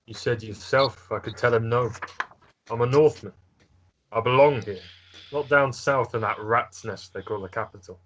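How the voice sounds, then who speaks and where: Casting: man as stern, stubborn lord of the north, mid pitch, fantasy script read, faintly noisy clicky mic. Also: normal speed, fairly bright tone, lightly acted. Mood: stern, resolute.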